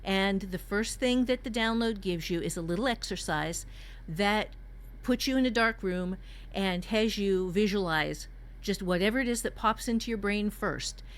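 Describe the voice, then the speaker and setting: A woman's voice: mid-range voice, moderate pace. A woman teaching a writing workshop in a conversational way, candid and joking as she explains. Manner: candid, jokey, mildly profane.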